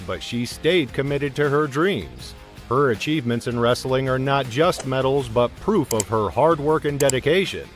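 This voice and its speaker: A man of middle pitch narrates a sports documentary in a steady, even read-aloud manner. His delivery stays scripted and measured throughout, with soft music playing faintly underneath. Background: faint background music.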